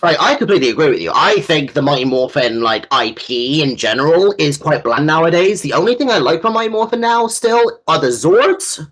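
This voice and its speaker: A man's voice: a little high, animated, a little fast, hardly any pauses, fairly bright tone. A man in his early twenties co-hosting a casual chat, informal and opinionated, with plenty of slang. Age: early 20s. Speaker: co-host.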